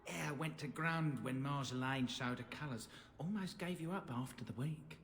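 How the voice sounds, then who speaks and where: A man's voice: a little high, moderate pace, fairly bright tone, a little hiss or hum. Cheeky peddler man, reverberant. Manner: casual, slangy. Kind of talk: dramatic video game dialogue. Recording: hall reverb.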